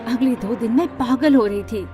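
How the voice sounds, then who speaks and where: A woman voicing an earnest, dramatic young heroine who tells her own story in an animated tale. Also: a little high, normal speed, lightly acted. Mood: troubled. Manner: expressive, dramatic, mixes English words into speech. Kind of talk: first-person animated story narration with acted dialogue. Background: background music.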